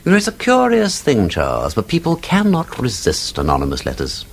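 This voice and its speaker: This is an actor as a self-assured amateur detective in a period radio drama. His mid-pitched voice swoops with great variety, witty and learned, savouring long sentences. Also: huge pitch swings, brisk, gravelly, breathy edge, exaggerated voice. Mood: wry, pleased. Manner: urbane, wry, discursive. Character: witty, erudite male aristocratic amateur sleuth, self-assured.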